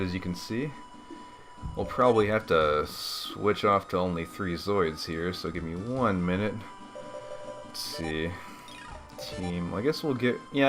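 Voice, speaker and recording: A man's voice: deep voice, fairly bright tone. A man giving casual, rambling game commentary as a let's play host, laid-back and slangy.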